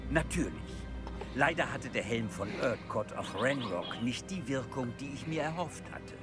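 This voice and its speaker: An actor playing a wary, proud old male goblin lord in a German game dub, speaking in a stern, measured way. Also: mid-range voice, lively intonation, natural voice. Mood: grave. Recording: game audio with music behind.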